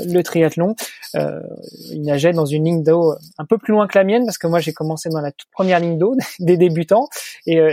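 A man's voice: fairly high pitch, mellow, dry recording. A man from Normandy chatting as a podcast guest, talkative and full of hesitations. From Normandy, France. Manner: chatty, many fillers and restarts. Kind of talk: casual conversation.

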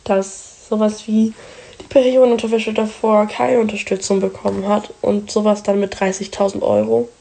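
A hoarse woman leaving an earnest, opinionated voice message for a podcast. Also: medium pitch, flat delivery, moderate pace, dark tone. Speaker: listener sending a voice message. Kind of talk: recorded voice message.

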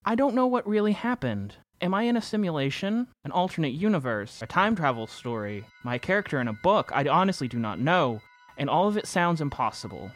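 A man's voice: fairly high pitch, very animated, fairly quick, hardly any pauses. A man playing an anxious narrator who confesses to a reality-bending game, in an earnest, reflective first-person audio drama monologue. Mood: bewildered, afraid.

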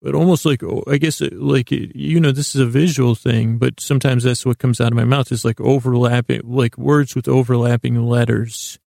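A man hosting a sleep podcast in a creaky voice, meandering soothingly through a bedtime monologue, mumbling and stumbling over his words. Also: mid-range voice, steady tone, moderate pace, few pauses, mellow, dry recording, clean studio sound. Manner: soothing, lulling, friendly. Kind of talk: rambling bedtime monologue to help listeners fall asleep.

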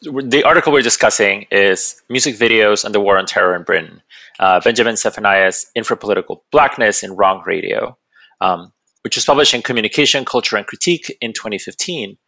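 A man hosting a podcast interview. His voice sits a little low and has a bright, clear edge. He speaks as an interviewer addressing a guest. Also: brisk. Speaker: podcast host. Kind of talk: podcast interview with scripted introduction.

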